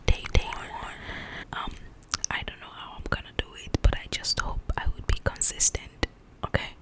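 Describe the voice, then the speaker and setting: A woman speaking in a breathy, unvoiced whisper as an ASMR host, chatting informally and directly to her viewers in a rambling, casual way. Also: normal speed, low-quality recording.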